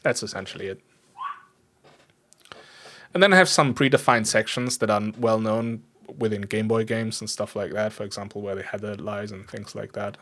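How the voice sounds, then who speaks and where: Low-voiced German man chatting casually on stream. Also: normal speed, a bit bright, slight background noise. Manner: easygoing, self-deprecating, chuckles often. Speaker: live coding streamer. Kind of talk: casual livestream chat while programming. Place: indoors at a computer desk.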